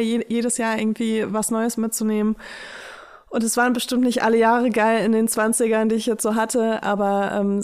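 A woman's voice: on the high side, darkish tone. Give me a woman in her late twenties, co-hosting a podcast, speaking frankly and loosely with plenty of fillers. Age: late 20s. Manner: candid, rambling, lots of fillers and "geil". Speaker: podcast co-host. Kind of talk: casual podcast chat.

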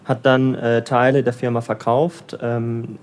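A man's voice: mid-range voice, level tone, unhurried. A man from Germany, raised in Bavaria, talks through his career in an easygoing interview. He hesitates often and restarts sentences, filling gaps as he thinks aloud. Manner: hesitant, many fillers and restarts. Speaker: interviewee, development finance professional in Lagos. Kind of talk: casual interview answers.

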